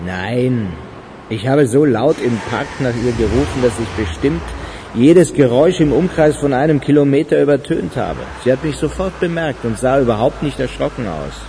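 An actor playing a measured, calculating gang member in a German radio crime drama, deliberate and pensive. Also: male voice, medium pitch, expressive, noisy background, lightly acted. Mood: calm reporting. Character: measured, calculating male film director in criminal gang.

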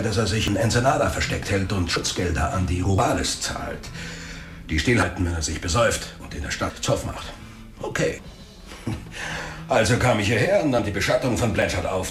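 A man's voice: fairly low pitch, reverberant, natural voice. A man playing a slick, greedy, chummy private detective who talks in slang in a radio drama. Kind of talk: radio drama dialogue, storytelling monologue.

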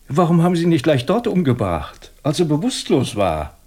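A man's voice: fairly high pitch, huge pitch swings, moderate pace, a little mellow. A man acting a shrewd, methodical British police inspector in a German radio crime drama, speaking standard German in a probing, ironically polite way. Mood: accusing. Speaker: actor playing a police inspector.

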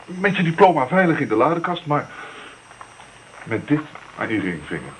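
An actor playing a shy, tender, hesitant suitor in a Dutch radio drama. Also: male voice, on the high side, very animated, normal speed, a little hiss or hum, poor audio. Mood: tender, nervous.

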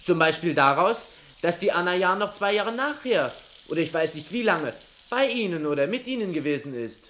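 An actor in a 1960s German radio drama playing an earnest, inquisitive schoolboy, deferential and hesitant but stubbornly probing. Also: male voice, sits high, lively intonation, normal speed, fairly bright tone, a little hiss or hum.

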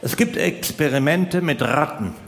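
A man's voice: fairly high pitch, animated, unhurried, a bit bright. A middle-aged man doing stand-up comedy in a colloquial, mock-exasperated way, full of rhetorical questions. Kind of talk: stand-up comedy to a live audience. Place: large live venue. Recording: roomy live hall, noisy background.

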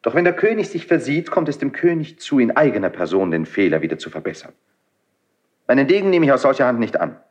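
A young prince in a classical radio drama, proud and impassioned. His husky voice sits at a middle pitch and declaims theatrically. The recording is dull and band-limited. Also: big pitch swings, quick pace. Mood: proud, bitter. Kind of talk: classical German stage verse radio drama acting.

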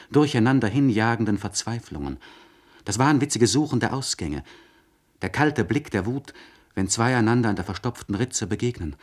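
Deep-voiced, solemn nobleman. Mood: haunted. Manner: solemn, searching, elevated diction with deliberate phrasing. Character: anguished, articulate nobleman confiding by letter to a friend. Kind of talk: literary radio play monologue.

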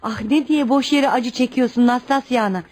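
A woman's voice: fairly high pitch, moderate pace, darkish tone, lightly acted. An actress playing a fretful, caring mother and kindly neighbour in a radio play. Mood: dismayed, pitying. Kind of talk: Turkish radio theatre acting.

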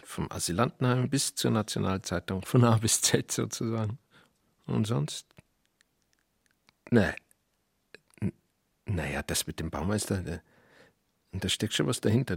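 An actor playing a wry, embattled writer in a naturalistic radio drama, speaking in a dry, hesitant way. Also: male voice, medium pitch, vocal fry, slightly rough, dry recording, full character voice. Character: wry, embattled male writer new in small town.

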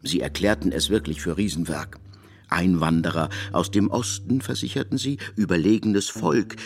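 A man with a low voice narrates a literary radio production. His delivery is formal and measured, in a storytelling manner, with faint music behind him.

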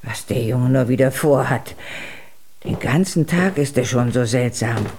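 A woman's voice: very deep voice, a little slow, darkish tone, a little hiss or hum. An older actress playing a loving, worried, pleading grandmother in a German children's radio play. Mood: fretful. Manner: fretful, pleading.

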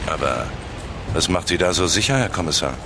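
Very low-voiced, terse investigator. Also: male voice, expressive, brisk, noisy background. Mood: probing. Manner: terse, dry, questioning. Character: gloomy, probing male investigator with a troubled private life. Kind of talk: naturalistic German radio crime drama acting.

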